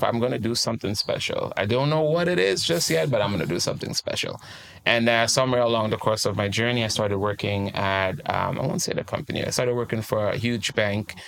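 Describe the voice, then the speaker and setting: A man's voice: lowish voice, creaky, fairly bright tone. A man, speaking as a guest life coach in a casual interview and telling his story in a reflective, open way. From Trinidad and Tobago.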